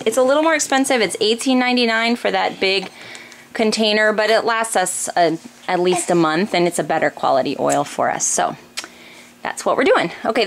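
An American woman in her thirties chatting informally as she explains household items one by one for a casual home vlog. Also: mid-range voice. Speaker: family vlogger, mother. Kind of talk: casual grocery haul narration. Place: indoors in a home kitchen or dining room. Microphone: handheld camera close by. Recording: noisy home recording with room ambience.

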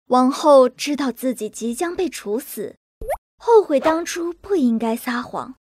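Storybook narrator: woman, high, very expressive delivery. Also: measured pace, dry recording, clean studio sound. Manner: lively, expressive. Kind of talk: scripted bedtime story narration.

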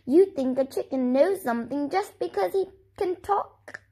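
A woman's voice: sits high, expressive, normal speed, dark tone. A woman voicing a silly male chicken in a storybook, calling out alarms and smugly lecturing people.

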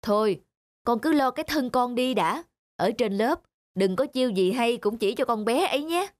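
A woman's voice: a little high, lively intonation, normal speed, dry recording, close to an everyday voice. A woman voicing an anxious, ambitious mother who talks in a fretful, self-justifying way. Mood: dismissive. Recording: music playing underneath.

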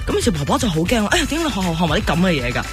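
A woman guesting on a Hong Kong radio talk show in a Hong Kong Cantonese accent, telling jokes in a giggly, animated storytelling manner. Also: on the low side, very fast, soft background music. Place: radio studio.

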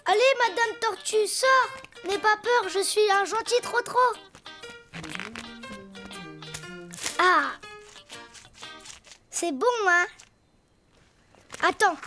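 Little boy donkey, preschool cartoon, cheerful, childlike, very high voice, slow-paced, exclaiming. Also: sharp tone, full character voice. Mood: curious, excited. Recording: music behind the voice.

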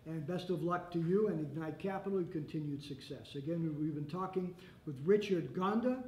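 An older man hosting a radio interview at a hotel, asking questions in a halting way with many restarts. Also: fairly high pitch, a little mellow. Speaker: radio host. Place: hotel meeting room by windows. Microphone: desk microphone, headphones. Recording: roomy with faint ambient noise.

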